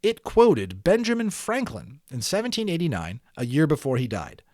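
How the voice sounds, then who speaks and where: Guy doing an audiobook for kids, really lively up and down, theatrical, dry close sound. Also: on the high side, normal speed, no room sound. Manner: theatrical, engaging listeners with questions. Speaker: audiobook reader. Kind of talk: expressive children's storybook reading with asides to listeners.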